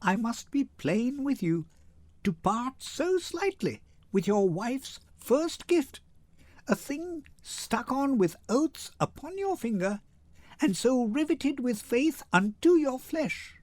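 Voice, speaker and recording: A man voicing a poised, witty noblewoman wife in measured, theatrical Shakespearean verse, teasing and quick to indignation. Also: very high-pitched, very animated, darkish tone, dry recording, pitched above the performer's own voice, heavily acted. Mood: reproving.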